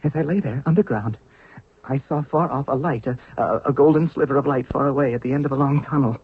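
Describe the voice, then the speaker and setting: Idealistic young pharaoh, husky, fairly high-pitched, earnest declamation, tinny old radio drama. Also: male voice, fast talker. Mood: awed, weary. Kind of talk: 1970s radio drama acting. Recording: tinny narrow-band old radio recording.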